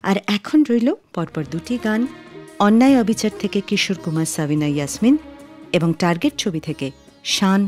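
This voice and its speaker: A woman's voice: mid-range voice, lively intonation, normal speed, a little mellow. A woman hosting a radio show, telling scripted stories and introducing songs in a studio. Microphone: close studio microphone, dry sound. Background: faint music.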